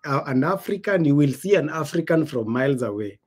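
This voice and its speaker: A man's voice: fairly high pitch, lively intonation, a little fast, darkish tone, slightly rough. A man from Kenya with a Kenyan accent, hosting a podcast in a casual, emphatic way and acting out quoted dialogue. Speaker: podcast host. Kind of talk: casual conversational storytelling.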